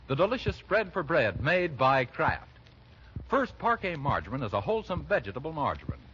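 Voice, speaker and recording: A man's voice: a little high, pushed and bright. A man announcing a scripted 1940s radio advertisement in an emphatic, salesman-like manner. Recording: dull, noisy 1940s radio recording.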